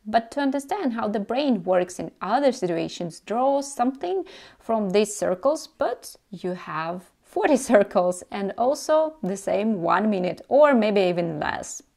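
A woman's voice: mid-range voice, measured pace, vocal fry. A woman in her thirties with a Russian accent, a native Russian speaker, talking to camera with tips for dancers and gesturing a lot as she explains. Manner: animated, gesturing with both hands. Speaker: dance teacher. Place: indoor room before a carved screen. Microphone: lapel mic.

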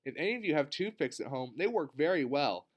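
Man acting female owl teacher, storybook character, concerned, kindly, instructive, higher pitched. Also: expressive, brisk.

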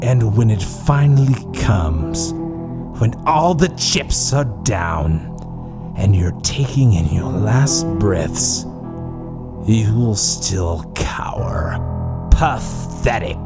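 Slow, swooping, smug mad doctor. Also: male voice, fairly low pitch, lively intonation, gravelly, loud music behind the voice, exaggerated voice. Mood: cold, scornful. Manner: pompous, slow, theatrical, chuckling menace. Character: menacing, smug male mad doctor villain. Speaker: voice actor in an audio drama. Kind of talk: theatrical horror radio drama acting.

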